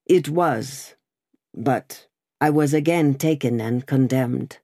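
Resigned condemned man, hushed and calm, as a woman reads him, low and dark-toned. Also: slow pace, dry recording, studio quality, exaggerated voice. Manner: hushed, quiet, gentle.

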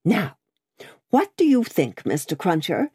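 A woman's voice: fairly low pitch, sing-song, normal speed, a little mellow, pitched above the performer's own voice. A woman voicing an agitated, prim and devoted Englishwoman in a storybook reading, urgent and tearful. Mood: anxious. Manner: urgent, exclaiming, prim and formal.

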